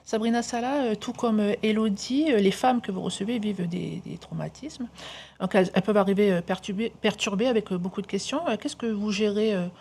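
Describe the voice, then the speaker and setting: Gravelly-voiced woman hosting a talk show. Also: mid-range voice, a little fast, a little mellow. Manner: hesitant questions with many fillers. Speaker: host. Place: TV studio set.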